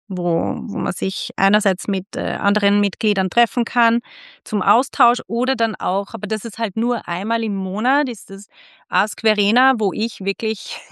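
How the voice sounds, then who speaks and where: A middle-aged woman from Austria hosting a casual interview, chatty and quick to laugh, often restarting her sentences. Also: mid-range voice, a bit bright, clear, resonant tone, dry recording, studio quality. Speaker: podcast host and club founder.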